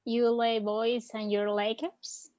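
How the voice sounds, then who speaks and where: A woman's voice: fairly high pitch, flat delivery, a little slow, darkish tone, lightly acted. A playful, teasing young love interest in a drama table read, played by a woman. The sound is slightly dull and lo-fi.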